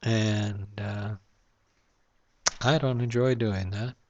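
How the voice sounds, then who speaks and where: A man's voice: lowish voice, steady tone, very slow, darkish tone, a little hiss or hum. Reflective man rambling in a dull lo-fi recording. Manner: rambling, reflective, with many fillers. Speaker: vlogger. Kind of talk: casual monologue to camera. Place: home room at night, lamp-lit.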